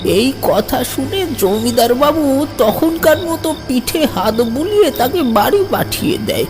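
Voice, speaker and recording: A woman plays an old servant man from a horror tale, frightened and pleading. The voice is high and swoops widely at a slow pace, drenched in long reverb. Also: expressive, music in the background. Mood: grave recounting. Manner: halting, repeating, imploring. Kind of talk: audio horror story acting.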